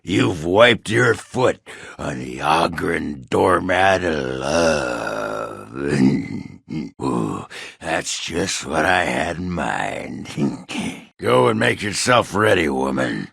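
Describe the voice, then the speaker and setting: A man playing a crude, boozy, belligerent dwarf warrior in a video game, with a raspy, guttural voice and a coarse, blustering, swaggering manner. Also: a bass voice, very animated, very slow, a bit bright, no echo, studio quality, heavily acted. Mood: lecherous, leering. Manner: coarse, blustering, swearing, slurred drunken swagger. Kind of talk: video game character acting.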